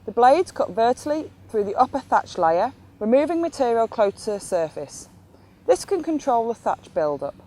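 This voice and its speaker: A woman's voice: on the high side, big pitch swings, moderate pace, mellow. A woman giving scripted instructional narration as an instructor in an educational film.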